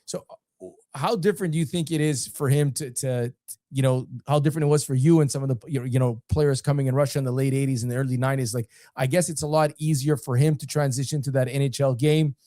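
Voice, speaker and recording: A man co-hosting a podcast in a relaxed, casual way, dropping in quick interjections between his questions. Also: medium pitch, dry recording, clean studio sound. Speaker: podcast co-host.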